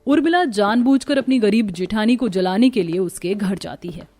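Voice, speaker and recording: A woman's voice: medium pitch, animated, normal speed, mellow. A woman narrating a scripted family story in a dramatic storytelling style.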